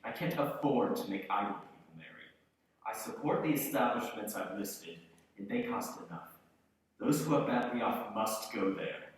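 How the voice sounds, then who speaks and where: A man's voice: on the low side, expressive, normal speed, close to an everyday voice. An actor playing a bitter, miserly old businessman, curt and scornful, in a theatrical radio play style. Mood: cold, dismissive. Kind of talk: live radio play acting. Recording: very echoey hall, distant microphone.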